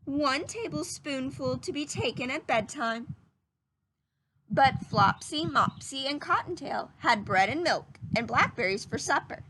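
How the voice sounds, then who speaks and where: High-pitched woman reading a children's story. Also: lively intonation, measured pace, background noise. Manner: teacherly, explanatory, addressing children. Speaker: storyteller reading a children's picture book aloud. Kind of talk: children's read-aloud with questions to young listeners.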